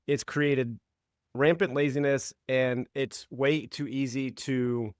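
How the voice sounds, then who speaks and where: A man's voice: mid-range voice, takes it slowly, no room sound, studio quality. Laid-back man who says "like" a lot. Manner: relaxed, heavy use of "like", acts out quotes. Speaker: podcast host. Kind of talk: casual interview chat.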